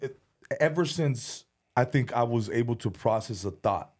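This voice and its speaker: A man speaking at an everyday mid pitch in a loose podcast chat. He rambles, stumbles over repeated words and restarts, and swears often as he tells his story. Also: animated, moderate pace, darkish tone, husky. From United States. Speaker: comedian and music video director, podcast guest.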